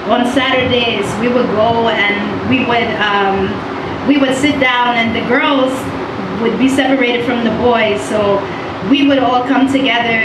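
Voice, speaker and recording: A middle-aged woman singer telling stories in a relaxed interview, soft-spoken and laughing easily, into a handheld mic. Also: a little high. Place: indoor studio with mural backdrop. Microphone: handheld mic, far camera. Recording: echoey room, distant mic, noise.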